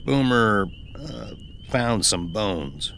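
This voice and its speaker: A man playing an uneasy logging crew boss who talks casually and haltingly, trying to stay calm in a horror audio story. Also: fairly low pitch, gravelly, slight background noise, heavily acted. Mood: forced casual.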